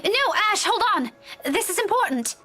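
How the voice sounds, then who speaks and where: High, fussy, anxious young teacher. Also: female voice, sing-song, moderate pace, bright, forceful tone, heavily acted. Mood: urgent, exasperated. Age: young adult. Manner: urgent, insistent. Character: anxious, fussy young woman schoolteacher, sharp-tongued worrier. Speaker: game voice actor. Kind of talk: dramatic visual novel dialogue. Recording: music behind the voice.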